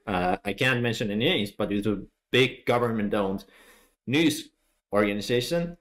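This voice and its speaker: A man with a Finnish accent gives a technical talk at a developer conference. His voice sits low, and he explains in a friendly way. He often pauses on "uh" and restarts his phrases. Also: a bit bright. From Finland. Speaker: conference speaker.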